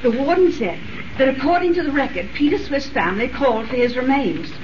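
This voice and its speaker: A woman's voice: high voice, expressive, brisk. A woman playing an anxious, devoted female sidekick in a 1930s radio drama, earnest, breathless and hesitant. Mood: earnest recounting. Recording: noisy, muffled, distant 1930s radio recording.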